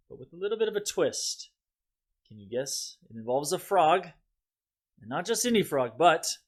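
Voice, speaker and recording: A man giving an expressive, deliberate storybook read-aloud for children in the manner of a teacher. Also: a little high.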